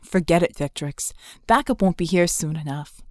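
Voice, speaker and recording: A woman's voice: lowish voice, moderate pace, darkish tone, dry recording, clean studio sound, pitched below the performer's own voice, natural voice. A woman voicing a grim, decisive male hero in an audiobook, speaking tersely and firmly. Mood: resolute.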